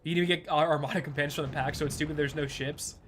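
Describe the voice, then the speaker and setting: A young man streaming a game, chatting casually in a laid-back, chuckling way with a fairly high-pitched voice. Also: fairly even intonation, rapid-fire, a bit bright, a little hiss or hum. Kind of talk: casual live gameplay commentary.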